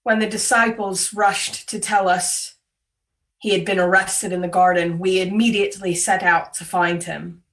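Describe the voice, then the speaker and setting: A woman voicing a grieving, devoted female disciple of Jesus in a dramatic worship monologue, sorrowful and deliberate, over a video call. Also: medium pitch, level tone, fairly bright tone, lightly acted. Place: remote, on a Zoom call. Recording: distant microphone, video call audio.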